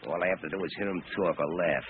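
An actor playing a tough, scornful small-time gang boss with gruff, domineering slang, in 1930s radio drama style. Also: male voice, sits low, fairly even intonation, fast talker, lightly acted. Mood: scheming, cocksure. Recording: tinny, noisy old radio recording.

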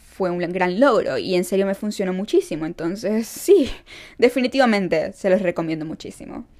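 A young woman from Venezuela with a Venezuelan accent, chatting animatedly to her viewers in a casual vlog. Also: medium pitch. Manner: animated, talks straight to viewers, keeps asking "¿me entiendes?". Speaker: vlogger. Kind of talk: casual chat to camera.

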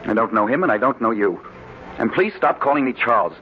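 A noisy, muffled old radio drama recording carries an actor playing a hard-drinking man who has lost his memory. He is anguished and bewildered, his pitch leaping about as he pleads in full 1940s melodrama. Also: lively intonation, brisk, lightly acted. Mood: bewildered, indignant. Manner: emotional, melodramatic.

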